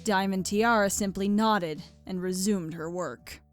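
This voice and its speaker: A woman narrates a scripted story in a medium-pitched voice. She reads as a storybook narrator, with music playing quietly underneath. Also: a little slow.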